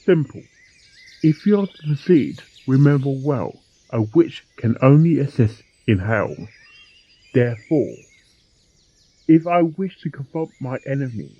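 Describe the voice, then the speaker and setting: A man playing a determined, sharp-witted pony detective in an amateur audio drama, reasoning with deliberate emphasis. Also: mid-range voice, lively intonation, husky, dry recording, muffled recording. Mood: triumphant explaining.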